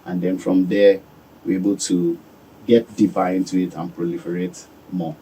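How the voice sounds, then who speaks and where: A Nigerian man giving calm, measured answers as a guest in a radio studio. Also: deep voice, breathy edge, recorded from a distance, poor audio. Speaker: business owner guest.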